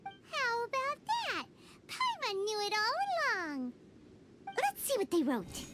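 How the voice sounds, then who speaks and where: A woman voicing a tiny floating fairy-like girl companion, chirpy and indignant, with a high squeaky childlike voice, over game music. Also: huge pitch swings, slow, a bit bright, slight background noise, heavily acted. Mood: excited. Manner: chirpy, indignant, talks of herself in third person. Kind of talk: video game voice acting. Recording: game soundtrack under the voice.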